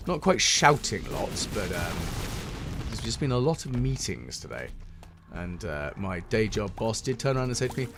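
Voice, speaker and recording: A British man with a deep, resonant and slightly hoarse voice, giving wry, self-deprecating commentary while playing a game. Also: sing-song, moderate pace, very noisy. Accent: British English. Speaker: gameplay commentator. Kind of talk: casual let's-play commentary. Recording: game music playing under the voice. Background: game music.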